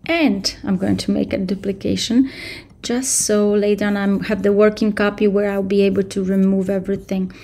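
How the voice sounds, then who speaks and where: A woman in her thirties giving a casual screen-share software tutorial indoors at her computer, explaining step by step with frequent fillers. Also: medium pitch. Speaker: tutorial presenter.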